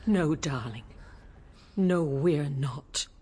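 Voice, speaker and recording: A sharp-tongued, protective English woman for a radio play. Her voice is fairly low and husky, her delivery brisk, dry and direct. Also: exaggerated voice. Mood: gentle. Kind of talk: radio drama acting.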